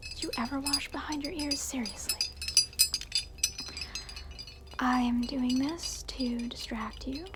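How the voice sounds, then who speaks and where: A woman's voice: fairly high pitch, very noisy. A woman playing an evil alter ego who greets newcomers to hell, in a taunting, condescending ASMR roleplay. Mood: sly teasing. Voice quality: whispery.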